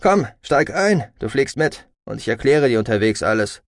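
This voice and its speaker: A man acts out a brash, commanding man in an audiobook story. He is impatient and sardonic, stern yet smugly reassuring, and his pitch moves widely. The sound is dry and close. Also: big pitch swings, normal speed, dry recording. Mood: breezy, firm.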